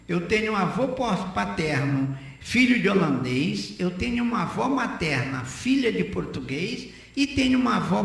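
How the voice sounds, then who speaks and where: A man's voice: high voice, vocal fry. An older man, giving his opinions in conversational radio talk and repeating phrases for emphasis. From Northeast Brazil. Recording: very echoey room.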